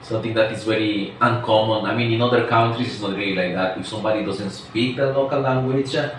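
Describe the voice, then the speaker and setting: Echoey, low-voiced man in his forties. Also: fairly even intonation, quick pace, fairly bright tone. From Switzerland. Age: 40s. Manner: hesitant, searching for words. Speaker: interviewee, expatriate resident. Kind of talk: informal interview answers. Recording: echoey room, distant mic, faint noise.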